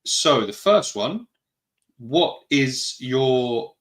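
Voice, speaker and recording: A man's voice: mid-range voice, big pitch swings, extremely slow, fairly bright tone. British male host, casual live chat, friendly rambling asides. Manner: chatty, greets viewers, rambling asides. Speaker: podcast host.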